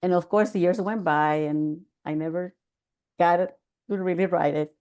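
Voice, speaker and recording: Older Salvadoran woman with a mellow voice. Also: lowish voice. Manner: measured, earnest storytelling with fillers. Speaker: podcast guest, author. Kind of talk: conversational interview.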